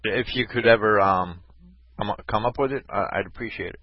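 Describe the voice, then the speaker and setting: A man's voice: on the low side, a little fast, dry recording, dull audio. A man hosting a casual online voice chat show, talking with callers in a wry, skeptical, conversational way. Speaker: host.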